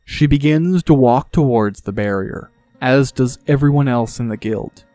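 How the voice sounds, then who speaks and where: A man's voice: mid-range voice, measured pace, mellow, no room sound, soft background music. A man reading scripted fantasy narration as an audiobook narrator.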